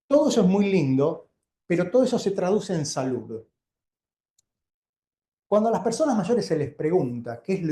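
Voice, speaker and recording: Male Argentine lecturer, informal and explanatory, mellow timbre, clearly reverberant room. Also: a little high. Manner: conversational, didactic, frequent check-in questions. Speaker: doctor giving a keynote lecture. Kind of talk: informal public lecture to a live audience. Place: indoor lecture venue before an audience. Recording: roomy, echoing live lecture sound.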